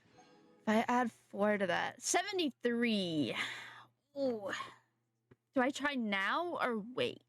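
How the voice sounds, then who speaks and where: A woman's voice: on the high side, very animated, slow pace, pauses often, dry recording, soft background music. A young adult woman streaming a game, chatting casually with viewers in a slightly nasal voice from a sore throat, rambling and correcting herself. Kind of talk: casual live-stream chat while gaming.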